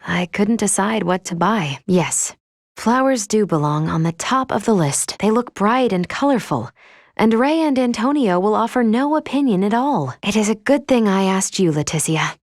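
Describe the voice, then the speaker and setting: A woman playing a polite, earnest female android in a video game, speaking in formal, precise, measured phrases. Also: medium pitch, lively intonation, dry recording, studio quality, lightly acted. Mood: earnest, thoughtful.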